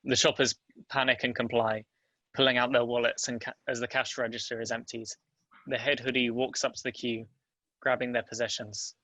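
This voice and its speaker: A man reads screenplay stage directions in a plain narrating style. His voice is bright and even, with little pitch movement. The sound is close and dry. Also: a little fast, dry recording. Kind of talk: scripted narration at a table read.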